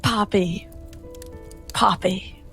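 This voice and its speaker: A woman's voice: medium pitch, fairly even intonation, extremely slow, gravelly, pushed and bright, soft background music, heavily acted. A woman playing a sweet, timid young traveller, easily impressed, with a hesitant and artless manner. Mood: shy.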